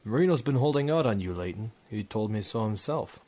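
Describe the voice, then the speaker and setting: A man's voice: lowish voice, expressive, fairly quick, warm tone. A man playing a cocky war photographer turned sleuth in a hard-boiled 1950s noir radio drama, with a dry, wisecracking delivery. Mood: cocky, needling.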